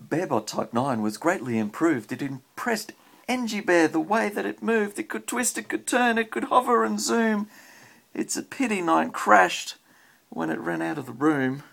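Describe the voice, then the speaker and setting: Australian man, gravelly fry, husky tone, parent reading aloud, casual chat with a child. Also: high voice, expressive, moderate pace, a bit bright.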